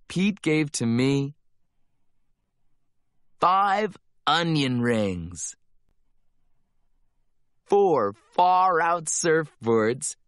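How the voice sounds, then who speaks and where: Slow, very animated male storybook narrator. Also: a little high, dry recording, clean studio sound. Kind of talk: children's read-aloud of a rhyming Christmas picture book.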